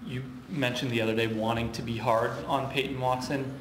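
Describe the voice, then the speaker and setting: A man's voice: mid-range voice, fairly even intonation, fairly quick, reverberant, a little hiss or hum, low-quality recording. A man reporter asking brief, direct questions at a press conference.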